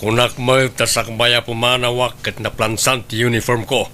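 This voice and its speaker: A man's voice: mid-range voice, flat delivery, moderate pace, bright, forceful tone, slight background noise, natural voice. A man playing a husband in a troubled household audio drama. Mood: angry, impatient. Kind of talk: Ilocano audio drama acting.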